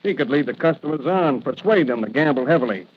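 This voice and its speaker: A man's voice: a little high, expressive, quick pace, husky. An actor playing a callous, menacing loan shark with sneering, slangy tough-guy talk in 1940s radio style. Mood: sly, cynical. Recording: muffled, hissy vintage radio recording.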